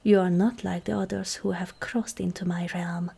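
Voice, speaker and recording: A woman playing a regal, seductive ancient demon queen in an ASMR roleplay, measured and commanding, with a husky, breathy, creaky voice that often softens toward a whisper. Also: lowish voice, little pitch movement, heavily acted. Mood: solemn, persuasive. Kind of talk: ASMR roleplay, scripted monologue to the listener.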